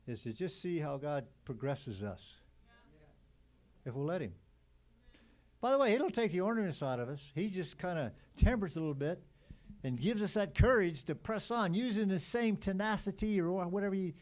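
An older man leads a graduation service from a church stage. He talks in a folksy, teasing preacher's way, his voice sitting somewhat high for a man. He holds a handheld mic. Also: expressive, moderate pace, darkish tone. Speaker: pastor. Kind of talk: graduation ceremony remarks.

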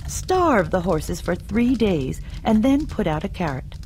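A woman's voice: mid-range voice, very animated, measured pace, a little mellow, slight background noise. A woman voicing a devoted young man speaking earnestly and with emphasis.

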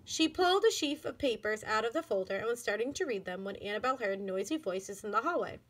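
A woman narrates a children's story as a teacher would to pupils. Her voice is fairly high with animated swings in pitch, and her delivery is expressive and deliberate. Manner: expressive, deliberate read-aloud. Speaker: elementary school teacher reading aloud.

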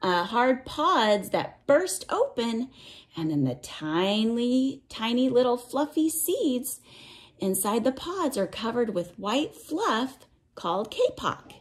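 Female storyteller for kids' picture book, slightly high voice, unhurried delivery, sing-song and instructive. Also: sing-song. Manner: sing-song cumulative verse, gentle questioning of young listeners. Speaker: read-aloud storyteller for children. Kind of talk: reading a picture book aloud, with simple explanations for children.

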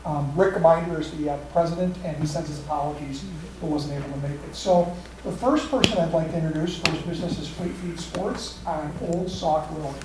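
Guy presenting at a board meeting, kinda high voice, echoey room, mic far off w/ hiss. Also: brisk. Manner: steady, informative, reads out facts and figures. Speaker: district staff presenting awards.

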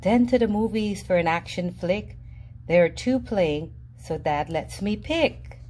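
A woman reading a children's picture book aloud in a lively, sing-song storybook voice with playful jumps in pitch. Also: measured pace, a little hiss or hum. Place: indoors at a table, reading an open book. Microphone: camera above the book, reader unseen.

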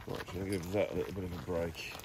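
A man's voice: low-pitched, flat delivery, fast. A man hosting a ghost-hunting livestream outdoors, chatting casually and hesitantly with some swearing. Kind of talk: casual outdoor vlog chat. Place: outdoors in a forest at night. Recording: very noisy outdoor location recording.

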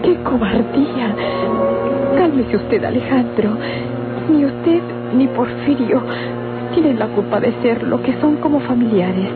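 High-voiced compassionate confidante. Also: female voice, expressive, unhurried. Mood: consoling. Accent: Mexican. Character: compassionate woman, shocked confidante in rural melodrama. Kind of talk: old Mexican radio drama acting. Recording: tinny vintage radio recording, loud music.

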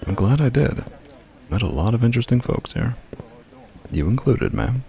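A man's voice: medium pitch, expressive, normal speed, gravelly, mellow. A man playing a falsely courteous, menacing stranger in a fantasy audio roleplay, speaking politely and tauntingly. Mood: courteous, pleasant.

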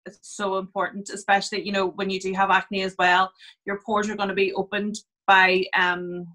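A woman in her thirties with a bright, forceful voice walks through skincare advice on a video call. She talks casually, with frequent fillers, explaining each point in turn. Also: medium pitch, completely flat delivery, normal speed. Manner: chatty, many fillers, explains step by step. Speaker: skin clinic therapist.

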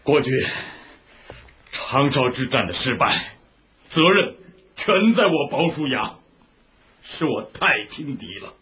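A man's voice: medium pitch, very animated, slow pace, long pauses. An actor playing a remorseful male general who contritely takes the blame in a radio drama. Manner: contrite, self-blaming. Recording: tinny phone-like audio, faint noise.

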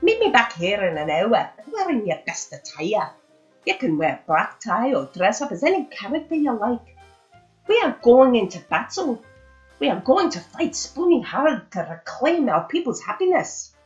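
A woman plays a heroic young wooden spoon from a storybook, rallying his friends with earnest speeches. The pitch swings widely, and music plays under a slightly muffled recording. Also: sing-song, normal speed. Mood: rousing.

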